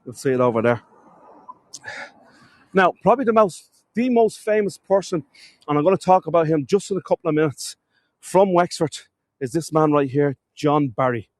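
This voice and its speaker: A man's voice: fairly high pitch, lively intonation, normal speed, pauses often, a little mellow, no echo. Sniffly man giving a chatty live tour. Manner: chatty, greets viewers by name, addresses them as folks. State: has a cold, sniffly. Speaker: live-streaming walking tour guide. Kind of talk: casual live-stream tour narration. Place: outdoors in a town.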